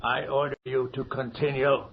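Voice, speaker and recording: A man's voice: mid-range voice, level tone, moderate pace, slightly rough. An actor playing a haughty, domineering magistrate of the king in a radio horror drama, speaking in formal, pompous phrases. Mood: cold, impatient. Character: stern, haughty magistrate of the king, contemptuous of peasants. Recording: dull, noisy old radio recording.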